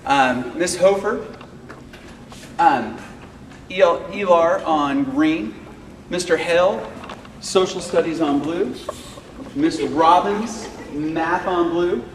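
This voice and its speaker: A man who teaches fifth grade science, speaking loudly and informally to parents at a school meeting, with many fillers. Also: a little high, slow pace, pauses often. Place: school classroom or hall. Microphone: far camera microphone. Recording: echoey room, far from the mic, noisy.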